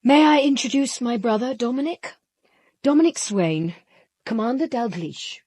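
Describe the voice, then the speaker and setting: An elegant, haughty widow in a radio mystery. Her voice is high and swoops in pitch, with a poised, possessive air. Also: expressive, normal speed, a little mellow, husky, no room sound. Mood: poised, formal. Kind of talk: British radio drama dialogue.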